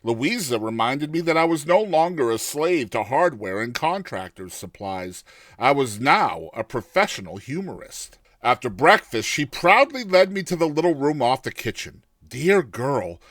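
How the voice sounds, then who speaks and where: Animated theatrical male storyteller. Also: medium pitch, moderate pace, dry recording, studio quality. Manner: theatrical, expressive first-person storytelling. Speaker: narrator. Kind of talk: dramatized audiobook narration of a classic short story.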